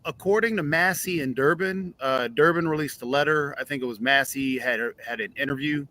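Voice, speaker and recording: A man's voice: fairly high pitch, brisk, pushed and bright. A man in a forum discussion, recounting what politicians said in a matter-of-fact way. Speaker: forum participant.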